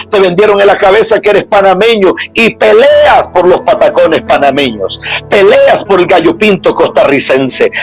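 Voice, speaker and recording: Guy preaching hard, gravelly raspy voice, keeps repeating lists, soft music under it. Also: sits high. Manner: emphatic preaching with repetitive rhetorical lists. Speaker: apostle preacher. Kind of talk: sermon.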